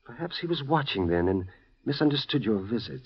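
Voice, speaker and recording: A husky, soothing radio doctor. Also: male voice, medium pitch, brisk. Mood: explaining. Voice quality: husky, lively, soothing bedside manner. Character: smooth-talking male psychiatrist, calm, fussy. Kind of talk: 1950s radio drama acting. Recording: dull old radio broadcast recording.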